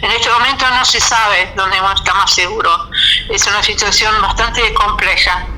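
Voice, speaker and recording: A woman, a guest on a radio talk show, speaking in a measured, hesitant way with many fillers and restarts. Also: medium pitch, fairly even intonation, sharp tone, soft background music, rough recording. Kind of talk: radio interview, reflective. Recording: radio studio.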